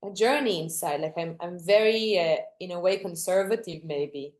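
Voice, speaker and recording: A woman's voice: on the low side, moderate pace, darkish tone. A young woman from Greece, a dancer, talking reflectively in an interview, often restarting and searching for words. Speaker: guest dancer.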